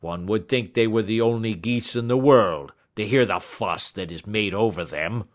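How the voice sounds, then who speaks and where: A man voicing a gruff, hot-tempered poultry salesman in an audiobook, blustering, snappish and emphatic. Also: on the low side, big pitch swings, slow. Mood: irritated, blustering. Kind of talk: audiobook character dialogue.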